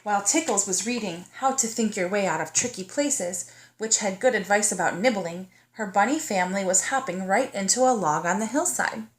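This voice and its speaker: A woman narrating a children's storybook as a teacher would, in a child-directed manner that invites the young listener in. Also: mid-range voice. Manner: child-directed, pausing to ask the listener questions. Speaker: teacher reading aloud to kindergartners. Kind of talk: storybook read-aloud narration.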